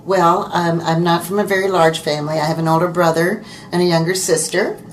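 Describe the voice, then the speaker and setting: An older woman from Virginia, a guest on a radio show, chatting in a relaxed way about her life in a low voice. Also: brisk, slight background noise, poor audio. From Virginia, USA. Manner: relaxed, chatty storytelling with soft laughs. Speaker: interview guest, radio producer. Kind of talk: casual interview chat. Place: radio studio.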